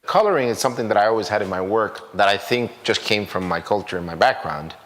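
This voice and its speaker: A man's voice: on the low side, lively intonation, fast talker. A man, speaking directly and firmly about his art in an interview. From Cuba. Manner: direct and firm. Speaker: artist. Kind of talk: documentary interview. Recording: loud music bed under the voice.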